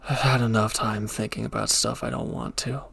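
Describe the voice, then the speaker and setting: A husky, crackling low voice belongs to a reformed villain played by a man. He is a protective lover with a firm streak, speaking in an intimate audio drama. His pitch stays fairly contained. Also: little pitch movement, brisk, a bit bright, full character voice. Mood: soft, devoted. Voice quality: gravelly, breathy. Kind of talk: intimate audio roleplay acting.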